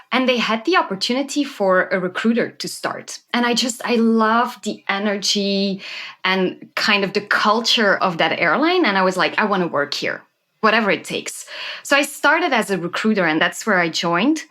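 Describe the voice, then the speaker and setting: A Belgian woman in her thirties, a podcast guest who tells her story with lively enthusiasm. Her voice is bright and clear, sitting at an ordinary middle pitch. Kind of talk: conversational interview.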